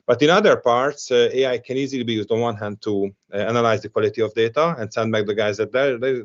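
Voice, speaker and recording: A man's voice: on the low side, highs cut off. A man with Hungarian as his first language, speaking as a panelist at a round table, hesitant, with many restarts and fillers.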